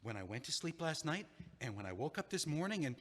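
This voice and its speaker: A man's voice: a little high, sing-song, fast, fairly bright tone. A man playing a flustered, heartbroken lawyer. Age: 30s. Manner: flustered, stammering, pleading. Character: heartbroken male lawyer, anxious, self-pitying. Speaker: estate lawyer. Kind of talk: stage audio play acting. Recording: long hall reverb.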